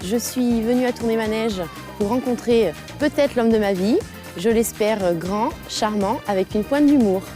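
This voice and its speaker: A woman's voice: a little high, moderate pace. A woman in her twenties, a game show contestant, bubbly and chatty. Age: 20s. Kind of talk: show intro and casual banter. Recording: TV studio with music.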